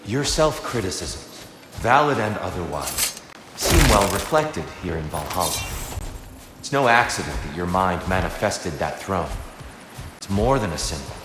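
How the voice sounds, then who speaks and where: A man playing a wise, measured god of war in a video game, counselling in an earnest, deliberate and eloquent way. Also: low voice, big pitch swings, noisy background. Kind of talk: dramatic video game acting. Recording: cavernous reverb under loud music.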